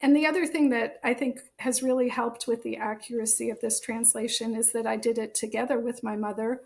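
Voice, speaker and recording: American female podcast guest, articulate and emphatic, fairly high pitch with vocal fry. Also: steady tone, a little fast. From United States. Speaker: author and translator, interview guest. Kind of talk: conversational podcast interview.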